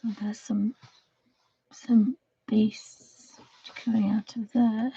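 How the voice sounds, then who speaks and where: Woman host, croaky and husky, mellow tone, soft rambling chat, dull lo-fi stream sound. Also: on the high side, little pitch movement, very slow, dry recording. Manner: soft, rambling, often half-whispered. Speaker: livestream host. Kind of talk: casual chat while colouring.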